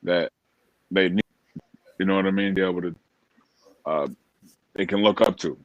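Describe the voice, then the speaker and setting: An American man chatting casually and earnestly as an interview guest, informal and a little halting. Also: sits low, steady tone, no room sound, dull audio. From Springfield, Massachusetts, USA. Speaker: interview guest, motivational speaker.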